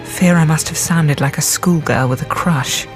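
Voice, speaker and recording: An actress playing an earnest, self-conscious young psychiatrist in love, speaking in an articulate, confiding voice in a radio drama. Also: female voice, sits low, moderate pace, lightly acted. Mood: confiding, wistful. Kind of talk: radio drama acting with intimate narration. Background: music.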